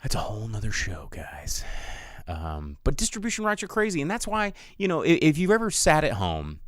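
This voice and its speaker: An American man hosting a live film talk show, chatting casually with viewers in an animated, opinionated way and repeating words for emphasis. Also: fairly high pitch, brisk, no echo, clean studio sound. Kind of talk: casual livestream chat with viewers.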